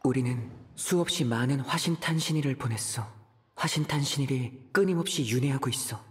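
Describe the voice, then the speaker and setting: A man's voice: medium pitch, a little fast, close to an everyday voice. Pensive young male traveler played by an actor. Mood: pensive, puzzled. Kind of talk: scripted game dialogue.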